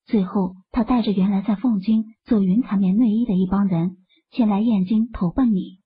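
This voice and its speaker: An actress playing a composed, soft-spoken young wife who explains things in an urban fantasy audiobook. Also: female voice, mid-range voice, steady tone, normal speed, husky. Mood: explaining. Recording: muffled, phone-like, lo-fi audio.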